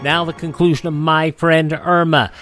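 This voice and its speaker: A man's voice: fairly high pitch, level tone, normal speed, fairly bright tone, faint music behind. A man presenting radio and reading ads in a personal, conversational host style.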